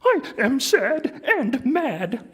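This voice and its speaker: Man doing a small furry monster voice, squeaky, jumpy, storybook reading. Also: very animated, drawn-out words, fairly bright tone, husky, exaggerated voice. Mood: upset. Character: small furry blue male monster, excitable.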